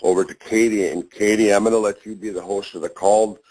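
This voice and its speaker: Man, very low voice, hoarse, folksy, halting. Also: steady tone, darkish tone, a little hiss or hum, low-quality recording. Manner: folksy, halting, many fillers. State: hoarse after a long drive. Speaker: chiropractor and call host.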